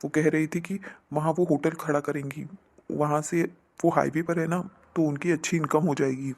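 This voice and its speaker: Man playing a worried husband, flat and highish. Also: moderate pace. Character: troubled husband and brother, weary and worried. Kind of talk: Hindi family melodrama for animated moral story.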